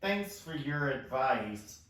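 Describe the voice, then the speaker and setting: A man's voice: medium pitch, sing-song, slow pace, fairly bright tone. A man reading the part of a kind, injured young male patient at an amateur musical table read. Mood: hesitant, friendly. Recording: distant mic in echoey room.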